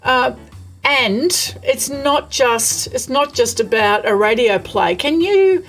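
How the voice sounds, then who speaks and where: Female interview host, slightly high voice, effusive and enthusiastic, conversational delivery. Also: animated, takes it slowly, faint music behind. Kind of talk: casual video call interview. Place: remote, not at the guest's location.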